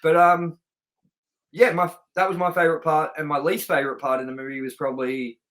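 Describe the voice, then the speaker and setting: A man's voice: a little high, level tone, a little fast, a bit bright. A man co-hosting a film review show, chatting casually and enthusiastically with informal, sweary language.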